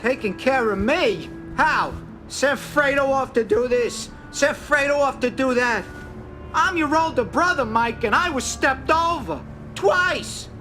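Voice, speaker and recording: An actor playing a weak, resentful older brother from a mafia family, pleading and aggrieved, with an American accent. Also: male voice, extremely high pitch, animated, measured pace, pushed and bright, faint music behind, exaggerated voice. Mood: bitter, resentful. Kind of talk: video game cutscene acting.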